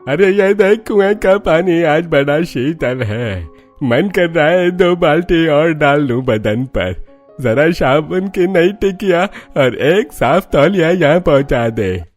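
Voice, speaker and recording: An easygoing old village grandfather in a Hindi cartoon. He calls out in drawn-out, homely exclamations, with his pitch lifted above an everyday male register. Music plays behind him. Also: expressive. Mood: cheerful, bossy. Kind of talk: Hindi cartoon story voice acting.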